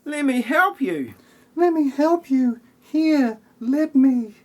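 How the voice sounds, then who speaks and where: A man voicing an excitable child in an amateur parody audio play. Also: extremely high pitch, slow, pitched above the performer's own voice, full character voice. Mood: eager.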